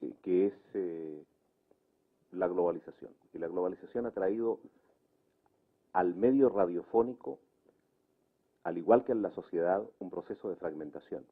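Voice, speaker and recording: A man explaining his view in a public debate, deliberate, restating and clarifying each point. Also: low voice, slightly rough. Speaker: debate participant. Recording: muffled narrow phone-like sound.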